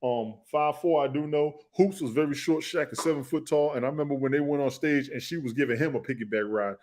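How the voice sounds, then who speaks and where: A man's voice: a little high, fast, a little mellow, slight background noise. A man co-hosting a comedy podcast, talking in a laid-back, slangy way in casual banter. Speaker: podcast co-host.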